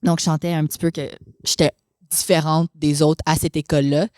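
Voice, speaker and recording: A woman's voice: low-pitched, flat delivery, a little fast, a little mellow, dry recording, studio quality. A young woman with a Québécois accent, a podcast guest chatting casually and dropping English phrases. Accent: Québécois French. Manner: chatty, mixes English expressions, laughs often. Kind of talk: casual conversation.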